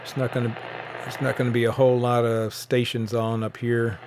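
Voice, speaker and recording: A man giving casual, unscripted commentary as a radio hobbyist, low-key and halting as he thinks aloud. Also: flat delivery, background noise. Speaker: shortwave radio hobbyist presenting. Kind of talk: casual unscripted commentary while tuning and showing gear.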